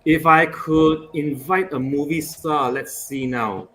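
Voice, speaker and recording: Chinese-born man, measured and explanatory. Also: on the high side, fairly even intonation, a bit bright, a little hiss or hum. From Asia. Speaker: panel guest. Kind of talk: casual podcast chat.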